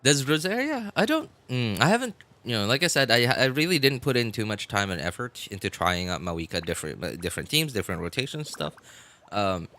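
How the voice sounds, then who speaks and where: A man livestreaming games in casual chat, talking in a halting, rambling way and often repeating himself. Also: medium pitch, very animated, pushed and bright. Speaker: live game streamer. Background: music.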